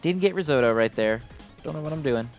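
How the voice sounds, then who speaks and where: A man's voice: a little high, animated, a little fast, soft background music. A man giving casual, unscripted gameplay commentary in a rambling, informal, self-deprecating way. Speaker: gaming video host.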